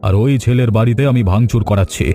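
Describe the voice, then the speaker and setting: Cartoon patriarch, acted by a man: wealthy middle-aged merchant, proud and authoritative, dark soft timbre, faint music. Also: on the low side, fairly even intonation, fairly quick, lightly acted. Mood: stern. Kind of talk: acted cartoon story dialogue.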